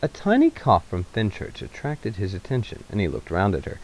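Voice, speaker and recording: A man's voice: fairly low pitch, huge pitch swings, normal speed, a little hiss or hum, rough recording. A man reading a story aloud as narrator, in a dramatic literary style. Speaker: narrator, reader.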